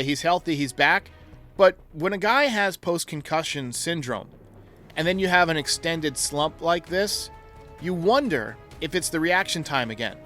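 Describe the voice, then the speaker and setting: Higher-pitched man giving sports commentary over music. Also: sharp tone. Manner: opinionated, reasons aloud through options. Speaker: sports show host. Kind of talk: sports commentary, answering caller voicemails.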